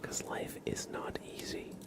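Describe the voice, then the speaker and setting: Whispering man giving gentle ASMR affirmations. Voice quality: breathy whisper. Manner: gentle, reassuring, slow and halting. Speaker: ASMR streamer.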